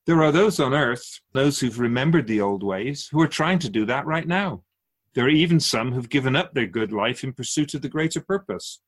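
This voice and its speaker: Man playing a lively, husky wise spirit guide. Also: mid-range voice, lightly acted. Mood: musing, encouraging. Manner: measured, musing, rhetorical questions, formal wording. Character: wise otherworldly spirit Keeper, patient, gently teasing, solemn teacher. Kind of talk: radio drama dialogue.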